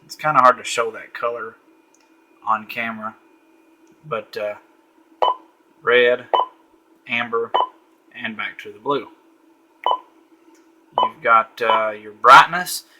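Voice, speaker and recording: A man's voice: mid-range voice, steady tone, takes it slowly, long pauses, background noise. A man giving a casual, easygoing walkthrough of a radio at a home desk late at night, thinking aloud with frequent fillers. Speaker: radio reviewer.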